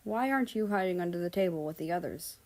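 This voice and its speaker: A woman's voice: fairly low pitch, animated, fast talker, a little mellow. A woman voicing a tall, stoic teenage boy in a story reading, speaking in terse, unbothered replies. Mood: dry.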